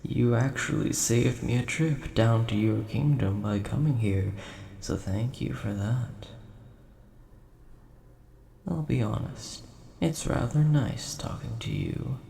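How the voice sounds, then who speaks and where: A man voicing a large, mild-mannered, nerdy dragon with a gravelly voice and a polite, easily offended manner, in a scripted audio roleplay spoken to the listener. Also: medium pitch, dark tone. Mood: warm, chatty. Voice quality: gravelly, creaky. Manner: polite, measured, mildly indignant. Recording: roomy echo, faint background hiss.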